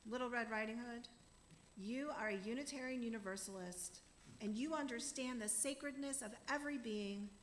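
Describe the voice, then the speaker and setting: A woman's voice: a little high, normal speed, roomy sound, slight background noise, poor audio. A woman minister giving an earnest sermon to her congregation in a church, saying she is losing her voice. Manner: warm, earnest preaching to a congregation. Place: church sanctuary.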